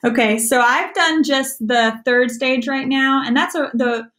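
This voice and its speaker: Young American female, slightly high voice, casual craft presenter for a youth lesson. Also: steady tone, unhurried, fairly bright tone. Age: young adult. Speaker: youth extension agent, activity presenter. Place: small room at home, indoors.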